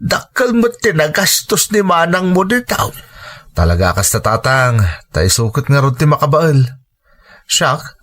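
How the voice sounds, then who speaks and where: An actor playing a grateful, gently chiding elderly father and grandfather in a radio drama, with an Ilocano accent. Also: a little high. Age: older.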